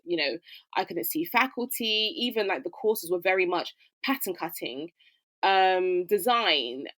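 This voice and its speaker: Low-voiced woman, dry sound. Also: animated, measured pace, fairly bright tone, no echo. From United Kingdom. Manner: conversational, reflective, frequent fillers. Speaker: guest, fashion educator. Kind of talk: interview answer.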